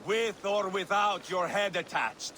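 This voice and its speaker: An actor playing a mocking, swaggering Viking warlord in a game cutscene, with a theatrical, taunting delivery. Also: male voice, sits high, unhurried, bright, forceful tone, a little hiss or hum. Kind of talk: dramatic game cutscene acting.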